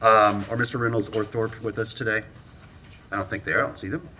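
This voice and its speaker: A man runs a city commission meeting as mayor, his voice pitched low but bright and forceful. He moves through appointments in a halting, procedural way, full of ums and uhs, with a faint hum of the chamber underneath. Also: fairly even intonation. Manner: halting, many fillers, brisk procedural. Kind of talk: chairing a public meeting. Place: council chamber.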